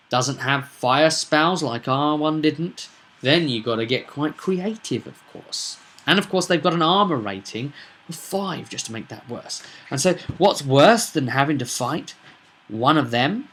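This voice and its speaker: A man talking casually as a game master in an animated, blunt, rambling commentary. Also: a little high. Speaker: tabletop game master, video presenter.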